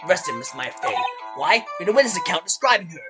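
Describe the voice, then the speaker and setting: A man voicing an eager, bumbling police detective in a game fan dub, with a gruff, strained throaty voice and a deferential manner. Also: high-pitched, very animated, fast talker, over loud music. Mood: earnest, confident. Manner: deferential, peppering answers with sir. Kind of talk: video game fan dub character acting.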